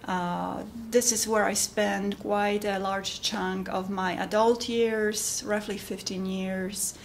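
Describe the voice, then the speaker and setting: A middle-aged woman with a Polish accent, talking informally and haltingly about her own work as a composer. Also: medium pitch, level tone, vocal fry, a little hiss or hum. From Poland. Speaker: composer giving updates. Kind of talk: informal monologue to camera.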